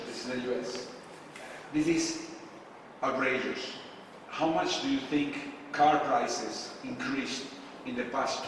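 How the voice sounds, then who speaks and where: A man presenting to a crowd at an event, heard from a distance in a big hall with a long, roomy reverb. He talks casually, stopping often on "uh" and asking the audience questions as he goes. Faint room noise sits under his voice. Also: medium pitch. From Argentina. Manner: casual, frequent filler pauses, asks the audience questions. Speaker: conference speaker. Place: event space with a live audience. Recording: echoey hall, distant mic.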